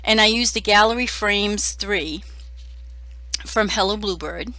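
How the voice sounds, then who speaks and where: Hoarse, chatty woman crafter. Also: medium pitch, measured pace, bright, forceful tone, dry recording. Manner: chatty and self-deprecating, many fillers. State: hoarse from a cold. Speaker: card-making crafter. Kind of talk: casual crafting voice-over.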